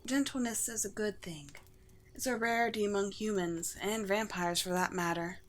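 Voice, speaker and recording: Girl doing a vampire queen asmr roleplay, soft-hearted and poetic, kinda formal, average pitch, noisy ambience behind her. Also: normal speed, natural voice. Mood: tender, wistful. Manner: formal, poetic, tender, lightly amused. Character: gentle, serene undead vampire queen, regal and sincere. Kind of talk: scripted ASMR roleplay addressed to the listener.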